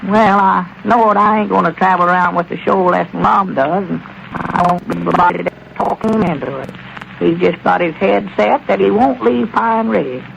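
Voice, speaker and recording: An actor playing a grumpy old country partner with a drawl, impatient and plain-spoken, in a 1940s radio comedy. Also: male voice, high voice, normal speed, creaky, fairly bright tone, muffled recording, slight background noise, heavily acted.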